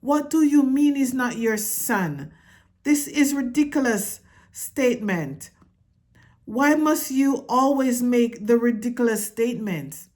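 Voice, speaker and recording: A woman's voice: on the high side, animated, slow pace, a little mellow, voice pushed up. Woman doing a haughty French mother mouse. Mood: exasperated. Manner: haughty, dismissive, put-on French accent. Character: dramatic female mouse, mother, French accent.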